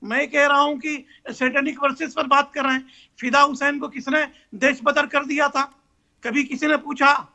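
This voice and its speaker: A man's voice: extremely high pitch, flat delivery, normal speed, creaky, bright, forceful tone. An Indian man, a cleric on a heated televised debate panel, speaking in a combative, challenging way.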